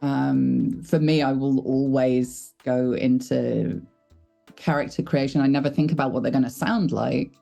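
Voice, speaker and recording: A woman with a low voice, a voice actor chatting casually in an interview, thoughtful and self-deprecating. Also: fairly even intonation, measured pace, creaky, warm tone, soft background music. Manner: thoughtful, self-deprecating, laughs while talking. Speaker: voice actor guest.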